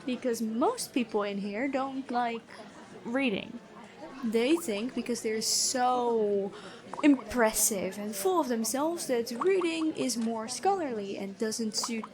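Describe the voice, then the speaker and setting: Female fantasy prison guard, played by a woman, gentle yet stern, inquisitive, slightly high voice, ASMR roleplay over clear background chatter. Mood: frank, compassionate. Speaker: ASMR voice actor.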